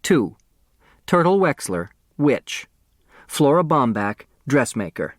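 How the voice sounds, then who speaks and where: A formal man announces rules and names in a storybook mystery, acted by a male narrator. He is deliberate and official, at a medium pitch in a very dry recording. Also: big pitch swings, dry recording. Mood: formal announcing.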